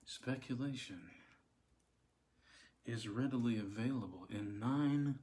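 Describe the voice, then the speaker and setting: A man voicing a hushed onlooker who muses wryly about a silent man, half-whispering in a mock-puzzled way. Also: medium pitch, close to an everyday voice. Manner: wry mock-puzzled musing. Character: hushed male onlooker commenting on a quiet man.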